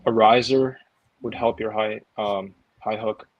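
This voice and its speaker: A man whose first language is Russian, talking in a measured, practical way in casual chat, with a few ums. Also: lowish voice, steady tone, highs cut off.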